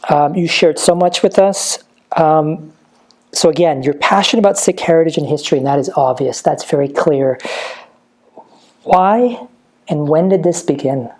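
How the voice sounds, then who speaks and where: A woman interviewer with a low, mellow voice. She talks in a chatty, appreciative way, speaking easily to her guest. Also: moderate pace. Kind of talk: conversational interview host. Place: heritage museum.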